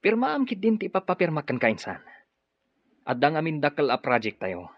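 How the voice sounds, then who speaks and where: An actor playing a sly, mocking, scheming cousin in a radio drama. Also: male voice, fairly high pitch, huge pitch swings, a little fast, no echo, full character voice. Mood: mocking, pushy. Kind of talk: Ilocano radio drama villain acting. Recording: dull, lo-fi radio drama audio.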